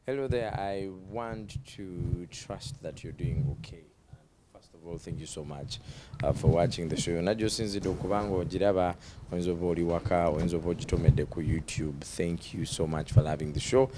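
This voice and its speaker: A man's voice: sits low, background noise. A man with a Ugandan accent hosting a talk show interview, switching between English and Luganda, drawing out words for emphasis and often laughing. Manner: emphatic, drawn-out delivery with frequent laughter.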